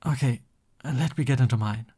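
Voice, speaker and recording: A man playing a soft-voiced, lovestruck villain boyfriend in an intimate ASMR roleplay, bashful and tender as he talks. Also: mid-range voice, normal speed. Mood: playful, affectionate. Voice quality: soft, breathy. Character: lovestruck, flustered supervillain boyfriend, soft and doting.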